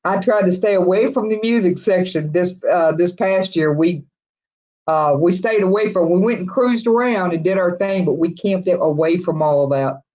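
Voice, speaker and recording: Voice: mid-range voice, moderate pace, a little mellow. A livestream host rambles through viewer comments in casual chat. The host chuckles often and restarts sentences a lot. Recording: dull lo-fi livestream audio.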